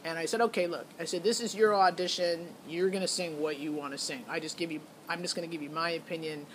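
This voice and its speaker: A woman's voice: fairly low pitch, fairly even intonation, fast talker, poor audio. Middle-aged woman chatting over background noise. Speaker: parent recounting a child's audition. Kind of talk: casual chat, personal storytelling.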